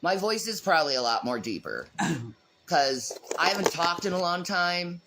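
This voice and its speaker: A woman's voice: fairly low pitch, very animated, moderate pace, background noise. A woman ranting defiantly in a long monologue, emphatic and repetitive, her voice a little strained. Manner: emphatic, repeats herself insistently.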